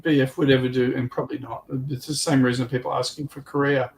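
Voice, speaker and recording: An Australian man talks casually as a livestream panelist about his hobby. His voice sits at an average pitch and his phrasing rambles and hesitates. There is clear noise behind him. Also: flat delivery, quick pace, low-quality recording.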